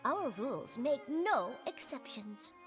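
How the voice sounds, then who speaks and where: High, officious guard. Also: female voice, sing-song, takes it slowly, faint music behind, exaggerated voice. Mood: stern, dismissive. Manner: brusque, formal. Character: stern officious female guard, rule-bound and dismissive. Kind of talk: anime-style video game dialogue.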